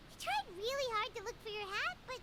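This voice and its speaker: A woman voicing a small, cheeky, childlike fairy companion in a video game, squeaky and chatty, speaking of herself in the third person. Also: big pitch swings, a little fast, background noise. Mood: chipper. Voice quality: squeaky, shrill.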